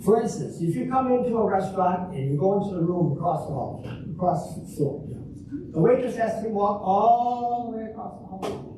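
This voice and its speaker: An older man playing a stingy, scheming husband in an amateur comedy reading, halting and folksy as he repeats himself. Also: high voice, lively intonation. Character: stingy, scheming old husband at a diner counter. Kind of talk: amateur reader's theater comedy performance. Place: large echoey room, live stage reading. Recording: echoey hall from far off, noisy.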